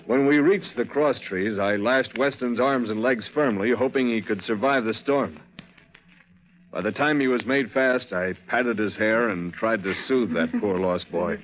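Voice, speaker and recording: A man's voice: lowish voice, measured pace, natural voice. An actor playing a haunted, determined seaman telling his tale in a 1940s radio drama, earnest and dramatic. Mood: grave recollection. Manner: dramatic, earnest, vivid storytelling. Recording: dull 1940s radio recording.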